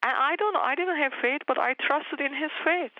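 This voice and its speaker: A woman's voice: high voice, moderate pace, no echo, highs cut off. A woman whose first language is Turkish, earnestly telling her personal faith story as an interview guest, restarting sentences often. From Turkey.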